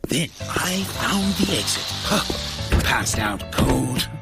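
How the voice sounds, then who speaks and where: A man's voice: medium pitch, very animated, slow. A man narrating a comedic audio drama in a bumbling, blustering way. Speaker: narrator and lead character. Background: background music.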